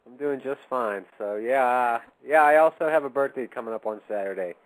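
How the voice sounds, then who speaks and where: A man's voice: medium pitch, a little fast, poor audio. A man in his thirties co-hosting a radio show, chatting in a friendly, lightly joking way. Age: 30s. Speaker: radio co-host. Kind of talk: casual chat.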